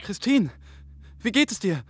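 An earnest young man caught in a conspiracy in a radio drama. His voice sits a little high. He sounds wary and uneasy, full of questions. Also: big pitch swings, unhurried, fairly bright tone, husky, exaggerated voice. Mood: tense, anxious. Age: young adult. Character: anxious, earnest young intern drawn into a conspiracy.

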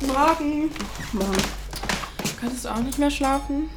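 A young woman playing a caring, worried flatmate in a naturalistic audio drama, earnest and a little hesitant. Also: high-pitched, unhurried, very noisy. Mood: gentle, hesitant. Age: young adult.

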